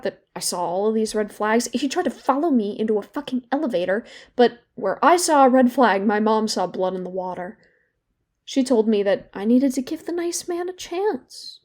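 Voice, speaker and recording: An actress playing a young woman in therapy in an audio drama, bitter and regretful, confiding in a halting, sardonic, conversational way. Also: medium pitch, darkish tone, natural voice. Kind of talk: naturalistic audio drama monologue.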